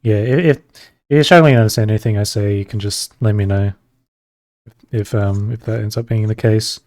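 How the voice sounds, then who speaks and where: A man streaming while he draws, chatting casually with viewers and rambling between pauses. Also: on the low side, dry recording, studio quality. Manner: relaxed, rambling, talks to chat between pauses. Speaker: streamer drawing live. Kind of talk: casual chat while drawing.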